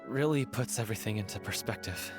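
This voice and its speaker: A man's voice: lowish voice, quick pace, lightly acted. An actor playing a remorseful, subdued young man of a theatre troupe in a video game, speaking hesitantly. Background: game music.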